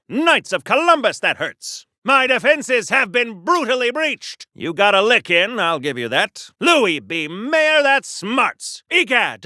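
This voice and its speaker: An actor playing a pompous, gung-ho American superhero from a golden age comic in a video game, declaiming grandly like a 1940s radio serial hero. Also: male voice, very high voice, sharp tone, no echo, studio quality, exaggerated voice. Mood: indignant, pained. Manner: grandiose, theatrical, florid declamation.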